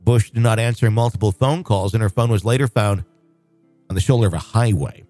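Low-voiced podcast host. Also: male voice, brisk, dark tone, no room sound, soft background music. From northwest Arkansas, USA. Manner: rambling, frequent fillers and restarts. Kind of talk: casual podcast chat.

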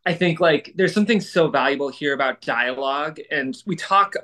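A man's voice: fairly high pitch, moderate pace, sharp tone. A man co-hosting a podcast discussion, talking in a thoughtful, articulate, explanatory way. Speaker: podcast co-host.

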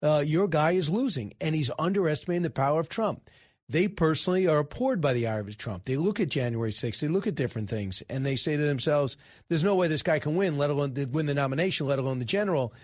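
A man's voice: fairly high pitch, animated, fast, darkish tone, dry recording, clean studio sound. An American man hosting live talk radio, giving rambling, conversational commentary and correcting himself as he goes. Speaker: radio show host. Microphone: close studio microphone.

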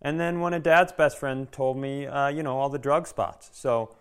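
An American man with an average-pitched voice riffs as a podcast co-host. His banter is casual, sarcastic and freely profane. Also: fairly even intonation, normal speed, clean tone.

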